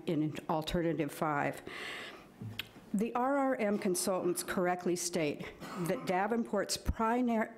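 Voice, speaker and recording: A woman's voice: fairly low pitch, a little mellow. Older woman reading a public comment. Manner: reads steadily from notes, earnest. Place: county board meeting chamber, indoors. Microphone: podium microphone.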